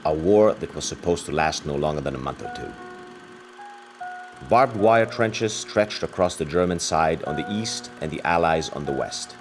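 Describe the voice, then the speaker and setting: A man's voice: very deep voice, lively intonation, normal speed, over music. A man reading scripted, dramatic documentary narration as a narrator.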